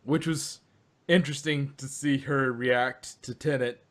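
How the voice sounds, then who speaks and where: A man's voice: medium pitch, fairly even intonation, unhurried. Guy on a podcast rambling on, lots of you know and uh. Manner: rambling, many fillers and restarts. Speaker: podcast co-host. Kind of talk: casual fan discussion.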